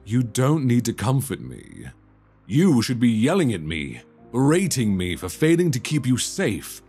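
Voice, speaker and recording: Man acting a guilt-stricken young prince, slow and husky. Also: mid-range voice, huge pitch swings, mellow, soft background music, exaggerated voice. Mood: tender, guilty. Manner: halting, sighing, earnest. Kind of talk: romantic audio roleplay, ASMR-like acting.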